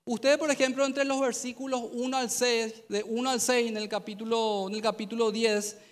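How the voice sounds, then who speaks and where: A Paraguayan man in his thirties preaches at a youth service, his voice pitched very high for a man. He tells the story in a chatty way and acts out the dialogue. His handheld mic carries a long reverb from a large auditorium stage. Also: level tone, moderate pace. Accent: Paraguayan Spanish. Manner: conversational storytelling, acting out dialogue. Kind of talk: sermon.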